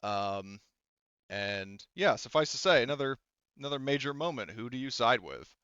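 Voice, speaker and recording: A man's voice: medium pitch, expressive, normal speed, a bit bright, clean tone, no room sound, highs cut off. A man running a tabletop game as game master, narrating casually in a rambling, profane, jokey way.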